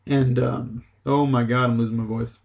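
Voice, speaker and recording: A man's voice: medium pitch, steady tone, measured pace, gravelly, a little mellow. A man giving a casual, unscripted game tutorial, explaining things in a loose, hesitant way. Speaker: gaming tutorial host.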